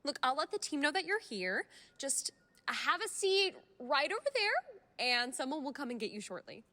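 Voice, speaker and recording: High, bright, chirpy receptionist. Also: female voice, sing-song, normal speed, full character voice. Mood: chirpy, eager. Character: chirpy, eager-to-please female receptionist. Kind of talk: radio drama acting.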